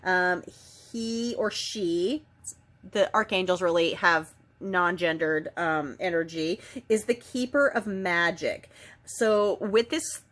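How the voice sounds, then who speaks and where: A woman with a head cold and a slightly nasal voice, giving a casual spiritual oracle card reading in a chatty, gentle way. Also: lowish voice, slow. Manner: chatty, gentle, with frequent ums and self-corrections.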